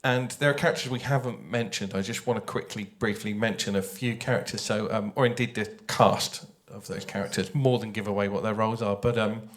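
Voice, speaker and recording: A man speaking off the cuff as a writer on a live panel, thoughtful and halting, talking into a microphone. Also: fairly low pitch, steady tone. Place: live panel at a games festival. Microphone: handheld or shared panel microphone.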